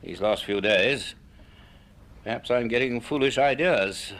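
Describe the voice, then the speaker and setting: An actor playing a curious, cautious university professor in a radio drama, speaking in measured, formal, sometimes hesitant phrases. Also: male voice, medium pitch, a bit bright. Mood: thoughtful unease. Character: curious, cautious male university professor narrating strange events. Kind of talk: radio drama acting and narration.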